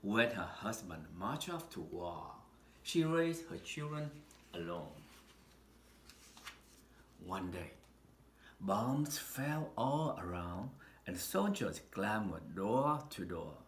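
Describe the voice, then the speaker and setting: A man reading a children's picture book aloud in an expressive, deliberate storytelling manner with dramatic pauses. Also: on the low side. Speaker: storybook reader. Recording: roomy echo with faint background noise.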